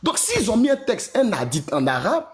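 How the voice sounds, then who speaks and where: A man making his case as a debate panelist. His voice is fairly high and swings widely in pitch. He stumbles, repeats words and restarts his sentences. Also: normal speed. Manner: lively, hesitant, repeats himself. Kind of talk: online debate talk.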